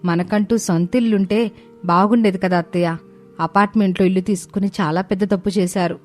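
A woman's voice: medium pitch, steady tone, brisk, a little mellow, natural voice. A woman playing an unhappy young village daughter-in-law who speaks in a plaintive, complaining way. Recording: background music under voice.

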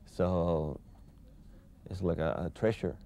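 Male parent interviewee, 40s, low and very dark mellow voice, informal testimonial. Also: steady tone, slow pace. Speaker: parent and library patron interviewee. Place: indoors in a library.

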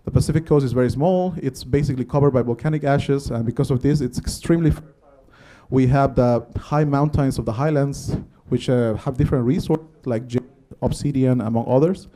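A man in his thirties from Guatemala, a native Spanish speaker with a Guatemalan accent, giving a conference lecture in a conversational, often pausing way into a handheld microphone in an auditorium. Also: mid-range voice, mellow. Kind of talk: public lecture.

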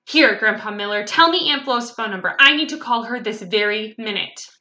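A woman voicing an excitable, bossy little girl in a children's storybook, gushing and emphatic with childish grammar. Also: fairly high pitch, measured pace. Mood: insistent. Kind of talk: children's storybook read-aloud.

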